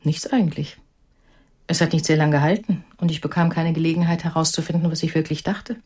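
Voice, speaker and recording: Very husky, reserved mother. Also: sits low, fairly even intonation, a little fast, darkish tone. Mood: reserved, candid. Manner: reserved, matter-of-fact, restrained. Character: cool, composed mother of a grown daughter. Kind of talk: understated radio drama dialogue.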